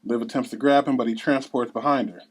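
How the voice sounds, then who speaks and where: A man's voice: medium pitch, steady tone, fast talker, breathy edge. A man with a mid-range voice reads stage directions aloud. He delivers scene headings and action as scripted narration at a table read.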